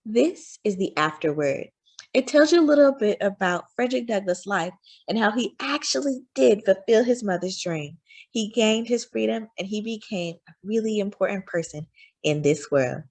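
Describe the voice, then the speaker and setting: A woman reading a children's story aloud in an expressive narrating style. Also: medium pitch, moderate pace.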